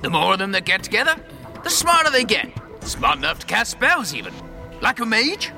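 A man's voice: high voice, very animated, a little fast, creaky, bright, forceful tone, soft background music, heavily acted. A man playing a wary, streetwise rat catcher in a fantasy audio drama, slangy, cagey and brusque. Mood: cagey, explaining.

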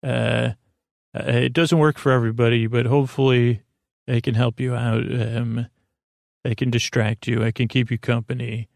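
A man with a creaky voice hosting a bedtime podcast, talking in a soothing, meandering, halting monologue with goofy asides. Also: medium pitch, little pitch movement, darkish tone, no echo, clean studio sound. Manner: soothing, lulling delivery. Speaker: podcast host. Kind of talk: rambling bedtime monologue to help listeners sleep.

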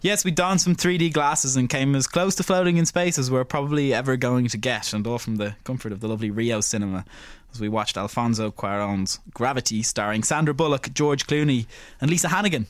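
A man co-hosting a culture podcast, chatting casually and reading quick film summaries. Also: medium pitch, no echo. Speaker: podcast co-host.

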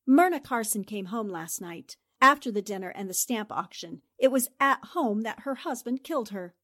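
A woman's voice: medium pitch, animated, moderate pace, fairly bright tone. A woman playing a shrewd, confident newspaper reporter and amateur sleuth, theatrical and assertive in 1940s radio drama style. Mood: confident, triumphant.

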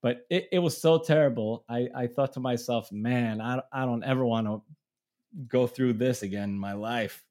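A man's voice: mid-range voice, moderate pace, darkish tone. Rambling Israeli-born musician, storytelling man. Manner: rambling, many restarts and laughs. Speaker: touring musician, guest. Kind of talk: casual storytelling.

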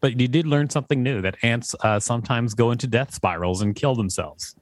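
A man's voice: medium pitch, fairly quick, no room sound. A man co-hosting a podcast with casual, conversational commentary. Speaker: podcast co-host. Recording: music behind the voice. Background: music.

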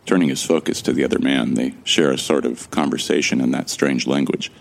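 A man's voice: rumbling low, fairly even intonation, a little fast, creaky. An actor playing a bewildered amnesiac man who wryly narrates his ordeal in the first person for a sci-fi audio drama. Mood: wry, musing. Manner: wry, musing.

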